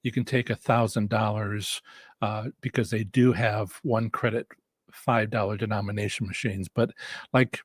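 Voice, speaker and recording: Guy hosting a casual how-to livestream, rough husky tired voice, rambly and halting, dry sound. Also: lowish voice, fairly even intonation, no echo. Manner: halting, rambling, repeats and restarts phrases. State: voice worn from a lot of talking. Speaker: host and instructor of a live stream on slots strategy. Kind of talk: casual live-stream teaching and audience Q&A.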